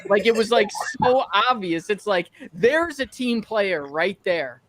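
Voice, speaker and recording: A man's voice: sits high, lively intonation, unhurried, fairly bright tone. A man co-hosting a podcast with blunt, profane, ranting banter, often acting out imagined quotes. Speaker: podcast co-host. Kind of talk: casual sports banter.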